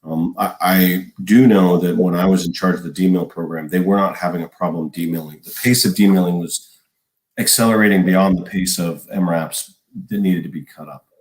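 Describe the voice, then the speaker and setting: A man in his forties, a former army logistics officer, explaining at length in a podcast interview with a measured manner and many restarts. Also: low-pitched, fairly even intonation, a little mellow, echoey room, poor audio. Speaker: guest, retired army logistics colonel.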